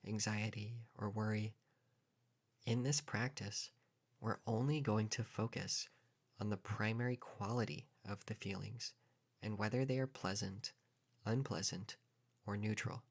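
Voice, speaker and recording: A man leading a guided meditation in a calm, measured manner, leaving pauses between short phrases. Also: on the low side.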